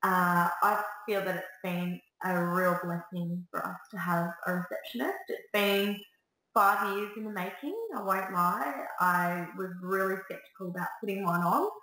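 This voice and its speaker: Salon owner, woman, 30s; upbeat and chatty, bright tone, webcam in a boomy little room. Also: steady tone, measured pace. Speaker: salon owner giving business tips. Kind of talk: direct-to-camera business advice. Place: small salon room, indoors. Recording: echoey room.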